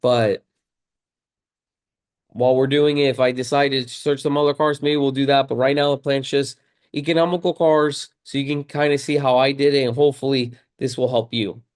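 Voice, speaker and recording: A man with a slightly hoarse voice from a cold, talking casually to viewers in a tutorial, conversational and repeating key points for emphasis. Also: mid-range voice, steady tone, moderate pace. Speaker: video creator and car rental host.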